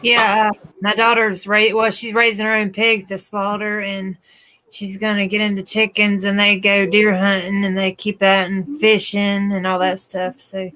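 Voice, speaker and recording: A woman's voice: mid-range voice, little pitch movement, moderate pace, sharp tone, slight background noise, low-quality recording. A middle-aged woman, chatting in an easygoing, teasing way. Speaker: guest. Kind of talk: casual chat.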